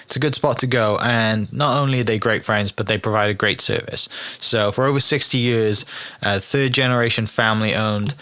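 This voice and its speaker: A man's voice: lowish voice, normal speed, pushed and bright. A man hosting an interview podcast, chatting casually in a laid-back, friendly, informal way.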